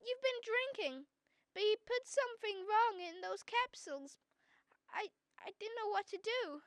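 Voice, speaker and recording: Girl playing a very high-voiced earnest young boy. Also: animated, a little slow, no echo, heavily acted. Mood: earnest, pleading. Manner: pleading, earnest. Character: earnest frightened young errand boy George. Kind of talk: radio drama acting.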